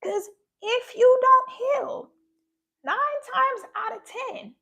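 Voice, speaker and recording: A woman's voice: very high-pitched, creaky, fairly bright tone. A young woman talking passionately and earnestly to her viewers on a live stream. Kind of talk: personal talk to viewers.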